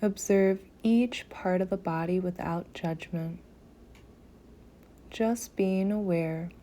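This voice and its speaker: A woman guiding a meditation in a soothing, gentle manner, softly breathy at phrase ends, with long pauses between short phrases. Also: fairly low pitch. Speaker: yoga nidra meditation guide. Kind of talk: slow guided meditation.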